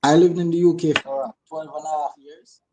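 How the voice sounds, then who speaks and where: A man's voice: a little high, fairly even intonation, normal speed, noisy background. A man from Jamaica with a Jamaican accent, chatting casually as an interview guest and repeating phrases for emphasis. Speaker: guest, actor and comedian.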